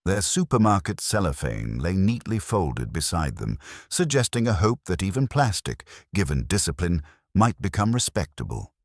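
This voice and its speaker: A man reading a scripted mystery story in a measured, literary and dryly wry manner. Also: low-pitched, big pitch swings, dry recording, clean studio sound. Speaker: narrator. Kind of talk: scripted audiobook-style narration of a mystery story.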